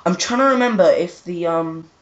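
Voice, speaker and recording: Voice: medium pitch, normal speed, lo-fi sound. A young adult co-host chatting casually and off the cuff about things, hesitant and rambling, often repeating words. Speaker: co-host of a gaming retrospective. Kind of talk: casual unscripted chat.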